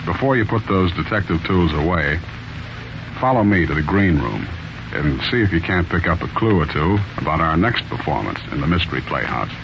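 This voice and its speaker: A man's voice: low voice, expressive, a little fast, creaky. A man hosting a scripted 1940s radio mystery show, theatrically addressing the listeners. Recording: muffled, noisy 1940s radio recording.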